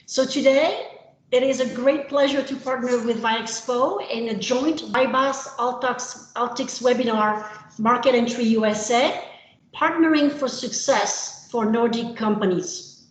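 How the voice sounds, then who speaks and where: French-born consultant lady in her 50s doing a webinar, kinda high voice, echoey room, lots of uhs. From France. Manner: measured, with filler uhs. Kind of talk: webinar presentation. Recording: roomy video-call audio.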